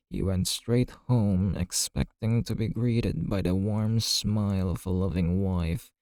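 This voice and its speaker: A man playing a chatty, easygoing bartender in an ASMR roleplay, telling gossipy stories in a casual, friendly, unhurried way. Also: low-pitched, dark tone, no room sound.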